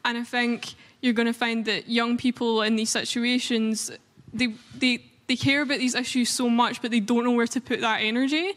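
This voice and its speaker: A young Scottish woman speaks earnestly in a fairly high, level voice, leaning on "um" and "like" as she goes. Her words ring out with a long hall reverb. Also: little pitch movement, normal speed, fairly bright tone. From Scotland. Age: young adult. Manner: earnest, frequent "um" and "like" fillers. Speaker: panel speaker, youth activist. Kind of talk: panel discussion remarks.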